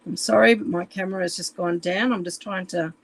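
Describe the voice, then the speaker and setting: A woman with a croaky voice, chatting casually and apologetically on a home live stream while fixing her phone camera. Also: lowish voice, steady tone, fairly quick, no echo. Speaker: live stream craft demonstrator. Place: room at home.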